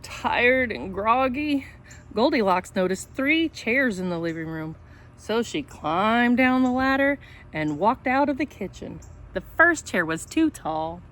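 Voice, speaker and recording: Female storytime host, lively pitch swings, unhurried pace, playful asides to young listeners. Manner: addresses children directly, playful and dramatic. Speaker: storytime host reading a picture book. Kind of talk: animated read-aloud for children with chatty asides.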